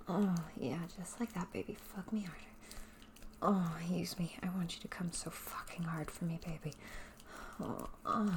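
A woman speaking straight to the listener in a soft, breathy, often whispered voice, dominant and teasing in an intimate audio roleplay. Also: fairly low pitch, background noise.

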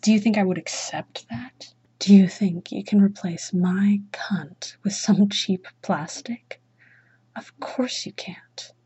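Slow, breathy, whispering woman. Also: mid-range voice, dark tone, lo-fi sound. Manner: commanding. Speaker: erotic audio roleplay performer. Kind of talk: dominant mistress roleplay.

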